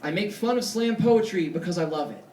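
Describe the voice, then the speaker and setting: A man performing live slam poetry as a poet on stage, with an emphatic, theatrical, punctuated cadence and deliberate pauses and repetition. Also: high voice, a little mellow, a little hiss or hum. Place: indoor poetry slam stage before an audience. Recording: echoey live venue, distant mic.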